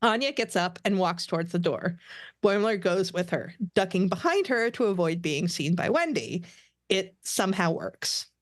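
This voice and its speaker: A woman's voice: on the low side, expressive, normal speed, no room sound, studio quality. A woman reading stage directions as narrator at a podcast table read of a script.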